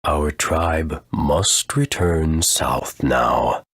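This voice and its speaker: Very deep, husky creature elder. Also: male voice, a bit bright, dry recording, studio quality, exaggerated voice. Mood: solemn, grateful. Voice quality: husky, rumbling. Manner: slow, grave, formal. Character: aged, solemn male elder of a creature tribe. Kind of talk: video game voice acting.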